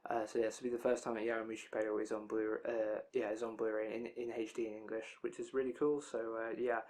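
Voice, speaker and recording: A man's voice: lowish voice, level tone, quick pace, a little mellow. A young man with a tired, worn-out voice, rambling casually through an anime release news roundup with loose asides.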